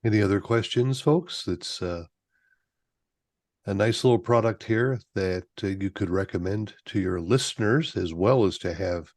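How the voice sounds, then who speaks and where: Low-voiced man moderating, close dry sound. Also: unhurried, a little mellow, dry recording. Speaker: meeting moderator. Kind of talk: casual hosting.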